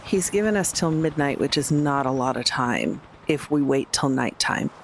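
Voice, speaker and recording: A man's voice: mid-range voice, lively intonation, moderate pace, creaky, slight background noise. A man talking casually as a player in a tabletop roleplaying game.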